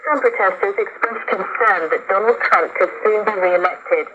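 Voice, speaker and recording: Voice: medium pitch, normal speed, dull audio, noisy background. A news reader delivering a scripted bulletin, heard over a shortwave radio broadcast.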